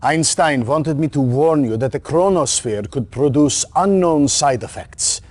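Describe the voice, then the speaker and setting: A man's voice: on the high side, animated, a little slow, a little mellow. An actor playing a cautious, earnest military man speaking formally in a game cutscene. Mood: grave warning. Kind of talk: dramatic video game cutscene acting.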